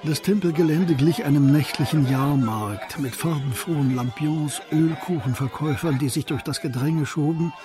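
A man's voice: fairly high pitch, moderate pace, few pauses, lightly acted. A man acting as descriptive storyteller, reading scripted literary narration for a radio drama. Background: music.

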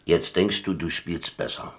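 Deep-voiced, wry old-school adventurer. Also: male voice, steady tone, a little slow. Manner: colloquial, dry, musing. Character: laconic, wry German ex-prisoner and adventurer telling his story. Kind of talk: radio drama acting and first-person narration.

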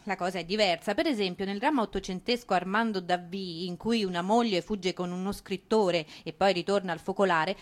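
Female theatre narrator: lively swings in pitch, ironic tone, expressive monologue with dramatic pauses. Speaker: stage narrator and performer.

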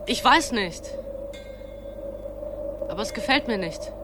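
A man's voice: high-pitched, expressive, takes it slowly, over music. A young male actor playing a brave but wary boy on a quest in a radio drama. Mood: determined.

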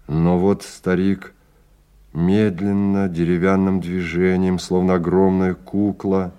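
An actor narrating a bleak science-fiction radio play as a grave, measured, dramatic literary storyteller. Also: male voice, deep voice, warm tone.

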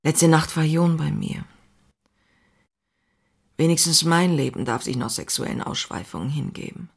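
A woman's voice: low voice, normal speed, creaky, breathy edge, heavily acted. An actress playing an outspoken, passionate woman writer who confides in her diary in an intimate, wry way. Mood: wry, bitter. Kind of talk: dramatized diary reading, scripted narration.

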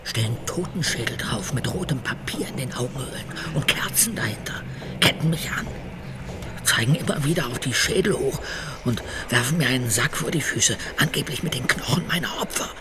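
An actor playing a coarse, self-pitying butcher turned killer in a radio drama, with a creaky voice and a muttering, confessional manner. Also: male voice, medium pitch, lively intonation, a little fast, background noise, close to an everyday voice. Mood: hushed, anguished.

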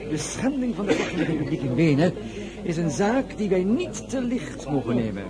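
Pompous male politician, high swooping voice, declaiming in radio drama over salon murmur. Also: very animated, moderate pace. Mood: suave, probing. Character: Barras, pompous male head of government. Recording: murmur of a salon crowd behind.

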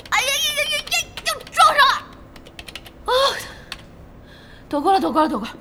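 An actress playing an excitable, blunt young woman who runs an internet cafe in an audio drama, expressive and exclaiming. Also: extremely high pitch, fairly quick, heavy background noise, exaggerated voice. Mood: panicked, excited.

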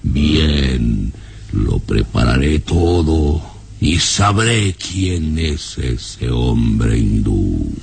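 An actor playing a sinister, irritable baron, imperious and contemptuous, in an old-time radio serial with music behind. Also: male voice, rumbling low, sing-song, extremely slow, creaky, a little mellow, slight background noise, exaggerated voice. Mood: suspicious, scheming.

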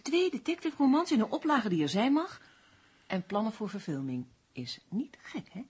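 An actress in a radio drama playing a tense, sharp-tongued crime novelist who is haughty and demanding. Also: female voice, fairly high pitch, huge pitch swings, moderate pace, slightly rough, exaggerated voice. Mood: cool, brisk.

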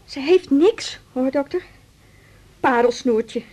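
An actress playing a stressed, complaining middle-aged daughter in a radio drama, with a husky voice. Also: female voice, high voice, takes it slowly, darkish tone. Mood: weary, irritated.

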